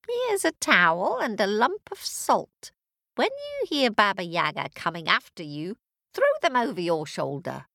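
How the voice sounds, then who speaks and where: A woman's voice: medium pitch, big pitch swings, unhurried, creaky, bright, forceful tone, no room sound, studio quality, exaggerated voice. A woman voicing a catty storybook cat that meows and talks in a helpful or indignant way. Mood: urgent, helpful. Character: talking cat, helpful, meowing, indignant at its mistress.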